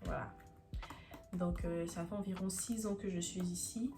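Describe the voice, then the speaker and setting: An eighteen-year-old woman with an Ivorian French accent talks casually to her camera. She hesitates and fills her pauses while she hunts for words. Soft music plays faintly behind her. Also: on the low side, fairly even intonation. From Ivory Coast. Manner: hesitant, searching for words, many fillers. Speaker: YouTuber. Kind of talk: casual vlog talk to camera.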